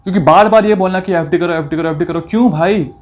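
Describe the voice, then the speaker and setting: Indignant man commentator, slightly high voice. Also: fast. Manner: indignant, accusatory, rhetorical questions; mixes English phrases into speech. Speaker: video commentator. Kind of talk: opinionated commentary on a recording.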